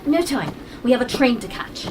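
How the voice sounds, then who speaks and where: A woman playing a quick-witted, eccentric time-travelling adventurer in an audio drama, rambling breezily and flippantly even in danger. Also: on the high side, recorded from a distance, background noise. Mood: wry.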